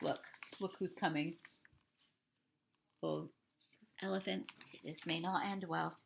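A woman's voice: fairly low pitch, fairly even intonation, gravelly, a little hiss or hum. A young woman reading a children's storybook aloud expressively, speaking to young listeners like a teacher. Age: young adult. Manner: deliberate, addresses young listeners with questions. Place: small indoor room.

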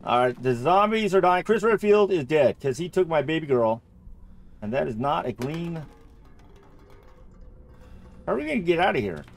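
A man giving casual, chatty gameplay commentary, thinking aloud and getting excitable. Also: a little high, moderate pace, creaky, pauses often, soft background music. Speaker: gamer recording a play-through.

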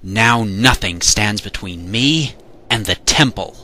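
A man's voice: fairly low pitch, expressive, slow pace, sharp tone, full character voice. A man voicing a cocky, whiny, dim young adventurer in a parody dub. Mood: smug. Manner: whiny complaining, smug. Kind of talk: fan parody cartoon dub.

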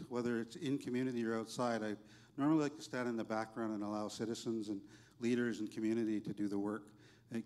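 A man speaking on a conference panel in a large hall, reflective and soft-spoken, with frequent fillers and restarts. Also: medium pitch. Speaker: panelist, economic development executive.

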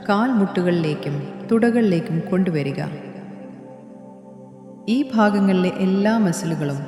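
A woman narrating a guided sleep meditation in a gentle, soothing, instructional manner. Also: on the low side. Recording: echoey hall reverb under music. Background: new-age meditation music.

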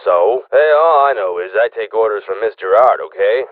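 An actor playing a gruff, stubborn, impatient train engineer in a video game, heard through a radio intercom filter. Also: male voice, on the low side, animated, normal speed, a little hiss or hum. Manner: brusque, impatient, folksy. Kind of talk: video game character acting. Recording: tinny narrow-band radio intercom.

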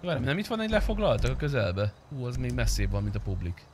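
A man's voice: mid-range voice, sing-song. Man streaming, noise behind him. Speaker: game streamer. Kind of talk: casual livestream chat while gaming.

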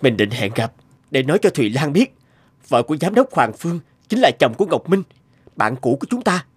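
Cartoon role: earnest adult man, somewhat hesitant, very expressive intonation, average register. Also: on the high side, brisk, slightly rough, dry recording. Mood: concerned. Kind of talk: cartoon dubbing.

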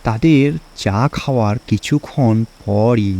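A man's voice: lowish voice, slow pace, warm tone. A man narrating a scripted cartoon story as its storyteller.

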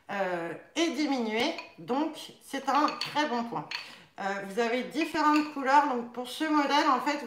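A woman's voice: a little high, pushed and bright, slight background noise. A young French-speaking woman demonstrating nunchaku models in a casual live stream from her living room, chatty and full of fillers. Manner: chatty, many fillers, demonstrating while talking. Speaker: nunchaku instructor. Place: living room at home, indoors. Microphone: camera across a table. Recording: echoey room.

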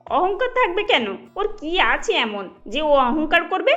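Haughty, judgemental cartoon mother-in-law, middle-aged, acted by a woman, high-pitched and melodramatic. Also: very animated, unhurried, fairly bright tone, dull audio, soft background music, heavily acted. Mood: scornful. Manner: emphatic, judgemental.

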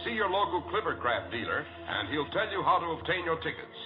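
A male American announcer delivers scripted copy in the polished manner of 1940s radio. His intonation swings widely and emphatically. The vintage broadcast is slightly dull, with music clearly heard. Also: on the high side, big pitch swings, a little fast.

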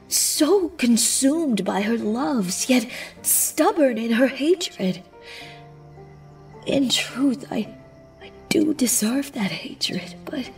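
A woman playing a grieving, remorseful pony princess of the night in a fan audio drama, speaking formally and often near a whisper. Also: fairly high pitch. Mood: solemn regret. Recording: distant, music underneath.

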